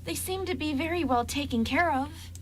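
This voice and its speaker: A woman's voice: high voice, moderate pace, a little hiss or hum, close to an everyday voice. A woman playing a tiny, polite, cheerful female fairy in an amateur radio drama. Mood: happy.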